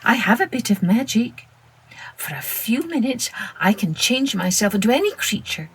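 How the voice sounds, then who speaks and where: A woman voicing a small, kindly magical frog with a gentle, earnest manner in a children's story. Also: on the high side, big pitch swings, moderate pace, a little hiss or hum, voice pushed up. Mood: eager, urgent.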